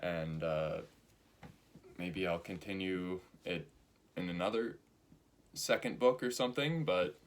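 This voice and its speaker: A man in his early twenties giving a spiritual talk, slow and halting, with many pauses and fillers. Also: low voice, slight background noise. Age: 20s. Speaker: spiritual teacher.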